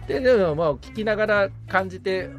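A man's voice: high voice, lively intonation, brisk, soft background music. A man who is a novice podcaster, chatting casually and candidly as the assistant on a show. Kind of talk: casual chat.

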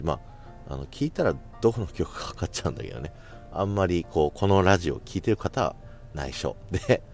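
A man's voice: extremely low pitch, a little mellow. A man hosting a solo radio-style podcast, talking casually and politely to his listeners in a chatty way.

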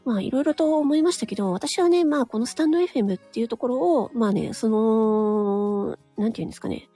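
A woman's voice: on the high side, brisk, warm tone, husky, soft background music. A woman talking frankly on her own audio channel in a casual monologue, with many small nodding asides. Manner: frank, chatty, full of nodding asides and tag particles. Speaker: solo audio broadcaster.

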